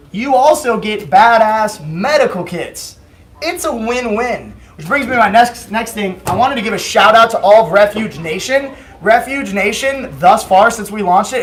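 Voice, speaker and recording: A man hosting a casual livestream, chatty and joking, with a hoarse voice today. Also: sits high. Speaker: host of a company livestream. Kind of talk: casual product livestream chat.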